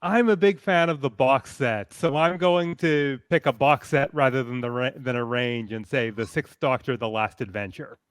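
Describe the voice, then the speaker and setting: A man from Canada with a crisp, resonant voice at a middle pitch. He talks casually and knowledgeably as a panel guest, in a dry recording with no room sound. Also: moderate pace, hardly any pauses, no room sound. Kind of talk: casual expert fan discussion.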